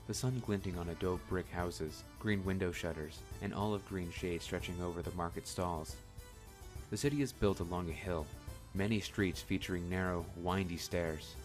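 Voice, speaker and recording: A man with a low voice narrates a radio drama from a script. Faint music plays behind his descriptive storytelling.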